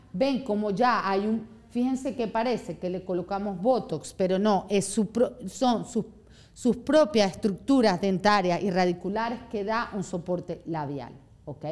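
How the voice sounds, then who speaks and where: A woman in her thirties, an orthodontist, giving a live seminar to a small room and often checking in with "¿ok?". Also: medium pitch. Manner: animated, gestures a lot, checks in with "¿ok?". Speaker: orthodontist lecturer.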